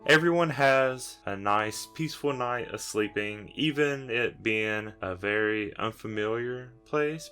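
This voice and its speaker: A man's voice: on the low side, a bit bright, clean tone. A man narrating an improvised tabletop game as game master, describing scenes directly to the players.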